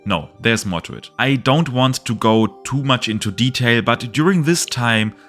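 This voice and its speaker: A man's voice: medium pitch, animated, normal speed, a bit bright, faint music behind. A man with a German accent talking candidly about a card game in a casual video monologue, rambling and swearing now and then.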